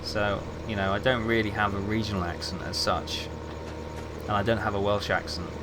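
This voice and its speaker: A man's voice: fairly low pitch, moderate pace, very noisy. A man who grew up in England chatting casually with viewers while he streams a game. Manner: relaxed, chatty, answers chat while playing.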